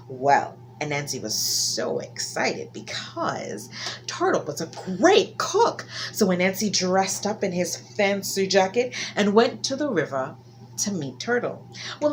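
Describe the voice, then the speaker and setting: A woman telling a folktale to children in an expressive style, stretching key words for dramatic emphasis. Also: medium pitch, slight background noise. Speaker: children's storyteller.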